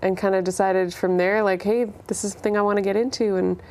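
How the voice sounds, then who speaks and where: A woman's voice: medium pitch, little pitch movement, brisk, a little mellow. A young woman from Canada with a Canadian accent, an interview guest chatting casually and thinking aloud. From Canada, born in Ireland. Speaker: interview guest, singer-songwriter. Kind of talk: casual chat.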